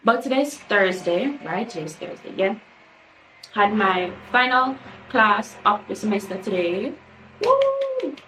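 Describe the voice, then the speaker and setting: A young woman with a Jamaican accent, slightly hoarse, rambling through her day in a casual home vlog with many ums and quiet trailing endings. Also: mid-range voice, very animated, slow, background noise. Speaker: vlogger. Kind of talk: casual daily vlog narration. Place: at home, bathroom. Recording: echoey room, voice a bit far from the mic.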